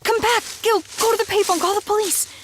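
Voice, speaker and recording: Panicky young woman, extremely high, shrill, urgent, radio drama, faint background noise. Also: steady tone, a little fast, a bit bright. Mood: urgent, frightened. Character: panicked young female friend giving urgent orders.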